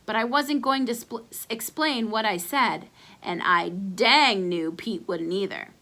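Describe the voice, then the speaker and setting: A woman reading a children's chapter book aloud as an expressive storyteller. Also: mid-range voice, unhurried, creaky.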